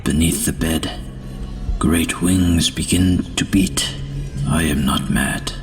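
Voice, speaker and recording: Under loud music, a very deep voice broods as a male actor plays him. He is a haunted, grim madman of gothic audio drama. His delivery is theatrical and very slow, sinking into hushed whispers. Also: steady tone, distant mic. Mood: dread, haunted.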